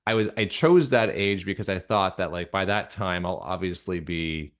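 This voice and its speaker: A man with a fairly low voice talks as a guest in a casual interview. He is earnest and reflective, feeling his way through sentences with halting restarts and a conversational looseness. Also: fairly bright tone. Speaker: guest, audio drama creator and director.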